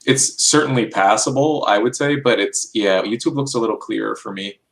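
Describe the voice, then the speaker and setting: Casual, fairly low-voiced man. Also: fairly quick, gravelly, a bit bright. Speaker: team member on a work call. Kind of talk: casual chat.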